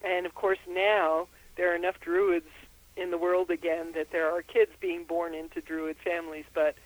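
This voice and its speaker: A woman's voice: lowish voice, flat delivery, moderate pace, poor audio. An older woman from Austria, a herbalist and author, giving interview answers in a thoughtful, explanatory way with frequent ums. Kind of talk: interview answers over the phone.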